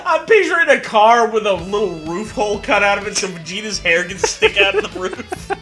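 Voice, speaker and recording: Animated, sarcastic higher-pitched man. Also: fairly quick. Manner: sarcastic, profane. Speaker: co-host commentator. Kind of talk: casual comedic riffing. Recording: music behind the voice.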